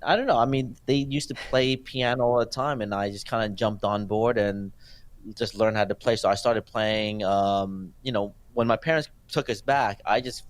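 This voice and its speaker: A man in his forties, born in Thailand and raised in New Jersey, telling his life story as a guest in a casual interview, halting with many fillers and restarts. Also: on the low side, fairly even intonation, no echo. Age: 40s. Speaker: filmmaker guest.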